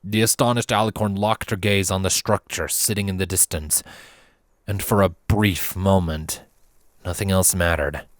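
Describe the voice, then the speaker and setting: A man reading a scripted fantasy story as narrator, in a measured, dramatic and solemn way. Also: low-pitched, steady tone, no room sound, studio quality.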